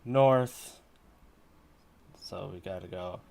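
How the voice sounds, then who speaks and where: A man's voice: low voice, very slow, gravelly, a little mellow, slight background noise. A man giving casual commentary on a game playthrough, muttering as he thinks aloud. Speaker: game streamer.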